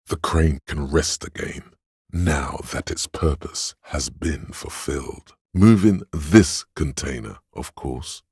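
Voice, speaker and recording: Brooding, wry inner-monologue game narrator; rumbling bass, heavy gravel, deliberate phrasing, dry close sound. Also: male voice, lively intonation, darkish tone, no room sound, exaggerated voice. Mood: solemn. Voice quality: gravelly, husky, very deep. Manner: measured, deliberate, literary second-person narration.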